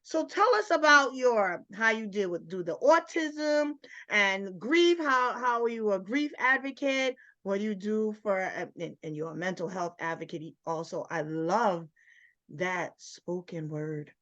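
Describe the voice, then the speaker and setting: A woman hosts the chat, cheering her guest on and winding through long, loose questions. Her voice has a middle pitch, and the recording sounds slightly dull and lo-fi. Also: a little slow. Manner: encouraging, animated reactions, loose rambling questions. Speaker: interview host. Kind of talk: casual interview chat.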